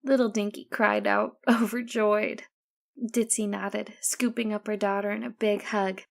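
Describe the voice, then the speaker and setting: A woman's voice: medium pitch, little pitch movement, unhurried, gravelly. A woman narrating a first-person story as an audiobook reader.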